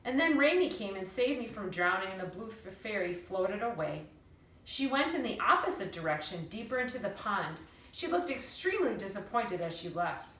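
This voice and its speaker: Female read-aloud teacher, bright tone, expressive children's storytelling, recorded off-mic in a room. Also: medium pitch, slight background noise. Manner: animated, dramatic storytelling with emphatic words. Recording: roomy echo, distant mic.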